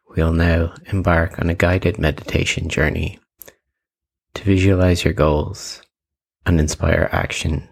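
Deep, slow, creaky-voiced male meditation guide. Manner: calm, measured instructions with long pauses.

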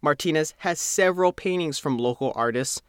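A man's voice: on the high side, a little fast, smooth, dry recording, clean studio sound. A man reading a scripted local feature article as its reporter and narrator.

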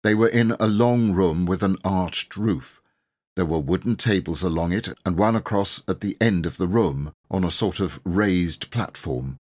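Male audiobook narrator: low, slightly husky, measured and expressive scripted storytelling.

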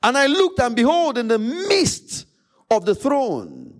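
A man with a Nigerian accent, a native Yoruba speaker, preaching a sermon as a pastor, emphatic, repeating phrases and pausing dramatically. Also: very high-pitched, wildly swooping pitch.

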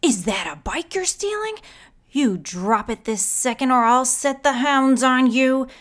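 A woman voicing a stern middle-aged neighbor with a husky voice. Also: sits high, animated, measured pace, a bit bright, pitched above the performer's own voice. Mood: angry.

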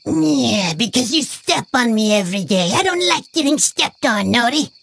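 A woman voicing talking household objects in a high, sing-song storybook voice. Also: animated, moderate pace, vocal fry, a bit bright, breathy edge, no echo, exaggerated voice. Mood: menacing. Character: talking smart speaker and grumpy rug.

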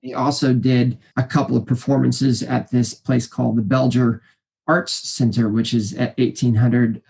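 A man in his forties with a naturally high voice, rambling cheerfully through stories as an interview guest, full of fillers and restarts. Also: flat delivery, normal speed, creaky, a little mellow, breathy edge. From Kansas City area, US. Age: 40s. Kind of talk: casual interview storytelling.

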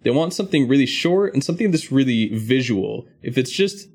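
A man's voice: mid-range voice, expressive, fairly quick, darkish tone, husky. A man hosting a podcast, explaining things in a structured, conversational way. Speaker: podcast host.

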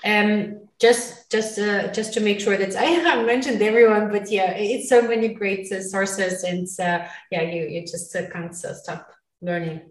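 Woman guest chatting casually, halting non-native phrasing, sounds roomy and off mic. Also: mid-range voice. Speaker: interview guest, recruiting sourcer. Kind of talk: casual conversational interview answers. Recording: roomy, echoey, distant mic.

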